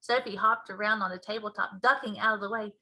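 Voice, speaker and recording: A woman's voice: mid-range voice, steady tone, fast, bright, forceful tone, rough recording. A woman narrating a children's story expressively, like a teacher reading aloud.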